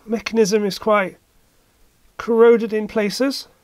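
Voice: medium pitch, level tone, takes it slowly, no echo. A person giving casual hands-on repair commentary in a hesitant way, as if thinking aloud. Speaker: repair video maker. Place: indoor electronics workshop.

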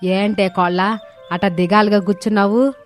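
A woman voicing a bossy, scolding older village mother-in-law in an animated moral story, with colloquial, questioning speech. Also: medium pitch, moderate pace, faint music behind, lightly acted. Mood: scolding, puzzled.

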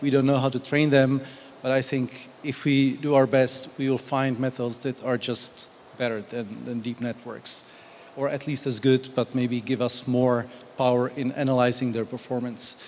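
A man in his forties, speaking in a measured, articulate way on a discussion panel into a handheld mic. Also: medium pitch, level tone, darkish tone, a little hiss or hum. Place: large glass-walled hall. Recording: long reverb of a large hall.

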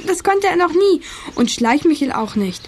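A woman's voice: extremely high pitch, big pitch swings, moderate pace. A woman playing a strict, grumbling young boy in a children's audio drama, emphatic and indignant in manner.

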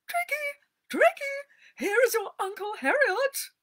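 A woman voicing a fussy wealthy older lady who dotes on her little dog, shrill and anxious. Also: very animated, unhurried, a bit bright, full character voice. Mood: delighted.

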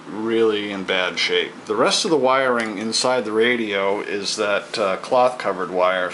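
A man with a low voice talks casually as he works, pausing to think aloud. He is picked up by a handheld camera indoors at a home table, with clear noise behind him. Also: a bit bright, poor audio. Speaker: hobbyist radio repairer presenting his own video. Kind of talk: casual hands-on narration while working. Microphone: handheld camera, held near the work.

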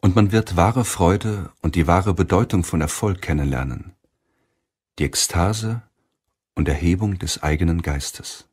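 A man narrating an audiobook in a measured, solemn way, reading from a script. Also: low-pitched, darkish tone, slightly rough.

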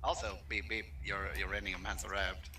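A man's voice: low voice, flat delivery, normal speed, noisy background. A man in casual gaming banter, clowning around in a cheeky, boastful and crude way. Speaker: gaming co-op guest. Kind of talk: casual banter while playing.

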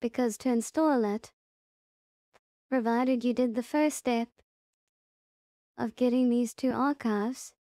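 A woman presenting a casual, step-by-step software tutorial in a plain-spoken, instructional way. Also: fairly high pitch, no room sound, studio quality.

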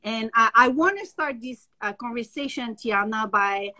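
A woman, hosting a live video-call interview, enthusiastic and halting with many fillers. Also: a little high, pushed and bright. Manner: enthusiastic, hesitant, many uhs. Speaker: host. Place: home office indoors. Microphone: laptop on a video call.

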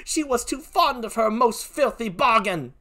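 A performer voicing an outraged, loyal and grieving gentlewoman with a put-on British accent, in theatrical Shakespearean acting. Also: medium pitch, lively intonation, unhurried, pitched above the performer's own voice. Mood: scornful. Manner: declamatory, impassioned, theatrical. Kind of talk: classical Shakespearean stage acting.

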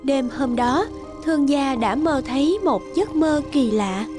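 High-pitched woman narrating a children's tale. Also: a little slow, music in the background. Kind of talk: scripted children's story narration.

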